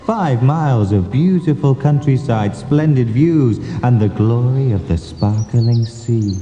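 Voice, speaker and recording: A man's voice: mid-range voice, a little slow, mellow. A man reading a scripted comic mystery as storyteller, solemn and mock-dramatic in his delivery. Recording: echoey, long reverb, faint music behind. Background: faint music.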